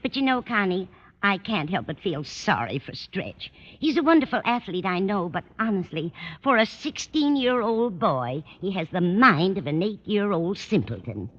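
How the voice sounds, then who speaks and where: A woman's voice: medium pitch, sing-song, moderate pace. A woman playing a fussy, scatterbrained, kindly older landlady in a 1950s radio sitcom, with a fluttery manner. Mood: chatty, admiring. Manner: fluttery, absent-minded. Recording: muffled old radio broadcast, faint noise.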